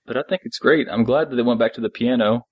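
A man co-hosting a fan podcast, recapping an episode in casual, loose and halting talk. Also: medium pitch, husky, no echo, studio quality.

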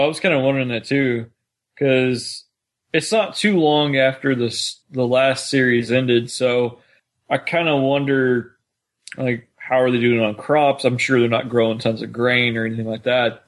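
A man with an average-pitched voice, talking casually as a podcast co-host. His delivery is conversational and informal, and he often voices little quotes as he goes. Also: fairly even intonation, moderate pace. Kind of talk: casual chat about an audio drama.

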